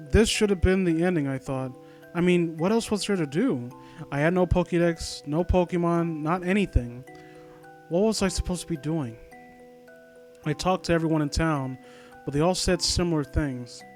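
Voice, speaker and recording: Guy with a slightly high voice reading a story n snarking at it, kinda exasperated, swears, soft game music behind. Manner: sarcastic, exasperated, casually profane. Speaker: host reading and critiquing an online horror story. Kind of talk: reading a story aloud with off-the-cuff mocking commentary. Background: glockenspiel-like video game music.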